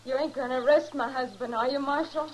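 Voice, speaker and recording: An actress playing a weary, downtrodden homesteader wife with a rural American accent, plaintive and meek, in an old-time radio western. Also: female voice, high voice, fairly even intonation, fast, lightly acted. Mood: pleading, weary. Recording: noisy vintage radio recording.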